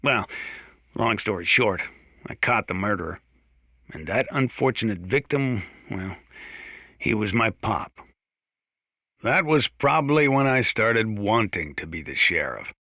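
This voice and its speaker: Casting: gruff, wry older backwoods American sheriff for a game; forceful bright tone, mid-pitched, folksy drawl, very dry close sound. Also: male voice, expressive, a little slow, long pauses, no echo, heavily acted. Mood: reflective storytelling. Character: folksy, gruff, sardonic older small-town mountain sheriff. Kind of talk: in-character radio monologue for a video game.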